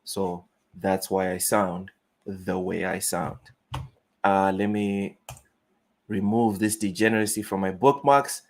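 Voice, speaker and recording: A man's voice: low voice, fairly even intonation, slow pace. A young man from Mozambique with a Southern African accent, chatting casually on a gaming live stream, reading chat aloud and riffing bluntly.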